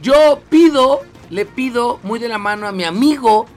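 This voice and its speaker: A man's voice: extremely high pitch, lively intonation, a little slow, soft background music. A man with a Mexican accent hosting radio with casual, joking, teasing banter. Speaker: radio host.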